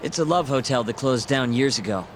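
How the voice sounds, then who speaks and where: A man's voice: medium pitch, animated, fairly quick, background noise, natural voice. A man playing a young, wry, streetwise sidekick in a video game cutscene, talking casually and a little sardonically. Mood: focused. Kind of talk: English video game cutscene dub acting. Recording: music playing behind the voice.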